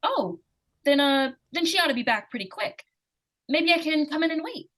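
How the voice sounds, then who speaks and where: Woman playing a high, lively, inquisitive little girl. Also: moderate pace, slight background noise. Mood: polite, nosy. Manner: hesitant, artless, persistently questioning. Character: inquisitive young girl, innocent-seeming, probing, easily frightened. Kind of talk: 1940s radio suspense acting.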